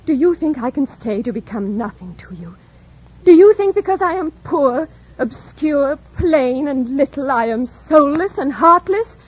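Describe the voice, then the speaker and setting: An earnest, proud young governess with a very high British voice. She speaks formally and with deep feeling, in old-time radio drama style. The recording is dull and lo-fi, like an old broadcast. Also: animated, measured pace. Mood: impassioned, defiant. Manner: formal, deferential yet impassioned diction.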